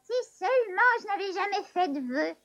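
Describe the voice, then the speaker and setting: A bossy, know-it-all little girl in a cartoon. Her voice is extremely high and piping, sharp when she insists. Loud background music plays beneath her lines. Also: lively intonation, brisk, dull audio. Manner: bossy, shrill. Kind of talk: dubbed cartoon voice acting.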